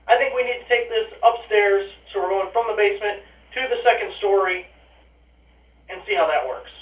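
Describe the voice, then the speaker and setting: A man's voice: high-pitched, moderate pace. A man talking casually to viewers as he tests a product, heard through an intercom speaker. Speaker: product reviewer. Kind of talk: casual test talk to viewers. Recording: tinny, phone-like intercom speaker sound.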